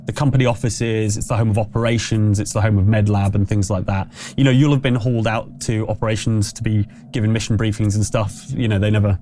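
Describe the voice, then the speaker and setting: A man's voice: on the low side, steady tone, fast, few pauses, warm tone, a little hiss or hum. A man working as game master, improvising tabletop narration in a conversational, explanatory way with hesitant phrasing.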